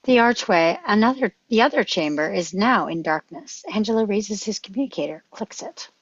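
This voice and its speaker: A woman reads stage directions in short scripted phrases at a medium pitch. The sound is very dry and a little lo-fi. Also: animated, normal speed, slightly rough, no room sound. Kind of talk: scripted narration at a table read. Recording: slightly dull, lo-fi microphone.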